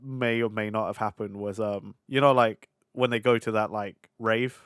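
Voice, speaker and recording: A man's voice: on the low side, steady tone, normal speed, a bit bright, clean tone, no echo, studio quality. A man co-hosting a podcast, talking casually about films in a joking way and sometimes acting out imagined lines. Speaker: podcast co-host. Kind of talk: casual film review chat.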